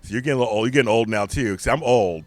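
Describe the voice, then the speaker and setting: A middle-aged man with a deep voice, speaking candidly and emphatically as a podcast guest. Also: rapid-fire. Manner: animated, emphatic storyteller. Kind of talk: candid conversation.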